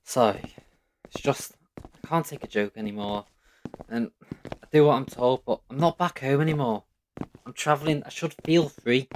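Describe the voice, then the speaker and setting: A man's voice: medium pitch, moderate pace, long pauses, slight background noise, lightly acted, low-quality recording. A man playing a sulky, flippant young traveller who hides hurt behind jokes in an audio drama. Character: sulky, joking young male traveller who feels inadequate.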